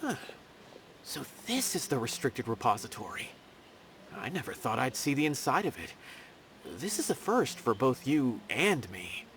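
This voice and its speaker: Earnest officer, mid-pitched. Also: male voice, very animated, measured pace, noisy background. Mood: impressed. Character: earnest young male desert law officer. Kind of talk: video game character acting.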